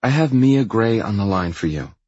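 A man's voice: fairly low pitch, animated, moderate pace, darkish tone, no echo, muffled recording, voice pushed up. A man voicing a flustered, apologetic female office assistant in an audiobook story. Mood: anxious.

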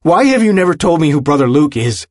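A man voicing an anguished, furious man making forceful demands and urgent questions in audiobook dialogue. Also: on the high side, sing-song, fast, no room sound, clean studio sound, pitched above the performer's own voice. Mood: furious, desperate. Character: anguished, furious man confronting someone he loves.

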